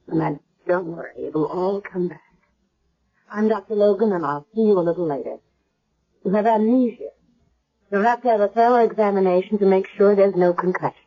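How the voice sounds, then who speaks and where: An actress playing a caring, wry woman hospital doctor in a 1970s radio drama, with a brisk, reassuring manner. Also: medium pitch, natural voice. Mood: calm concern. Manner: brisk, reassuring professional questioning. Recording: dull lo-fi old radio broadcast.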